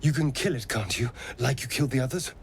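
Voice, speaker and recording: A man's voice: mid-range voice, fast, a little hiss or hum, natural voice. An actor voicing a sly, haughty male vampire rogue in a video game, with an affected, theatrical drawl. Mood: coaxing. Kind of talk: video game cutscene dialogue.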